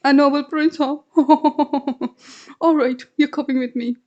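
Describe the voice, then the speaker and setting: A woman voicing a jumpy, bossy washerman who yells when scared. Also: high voice, fairly even intonation, slow pace, highs cut off, pitched above the performer's own voice. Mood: amused.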